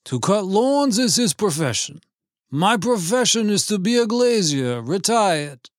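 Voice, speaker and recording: Man doing a high-voiced, dismissive old husband. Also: sing-song, measured pace, no room sound, studio quality. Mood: complacent. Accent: Yiddish-inflected American English. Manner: complacent, dismissive, roundabout. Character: indifferent, grumbling old retired husband.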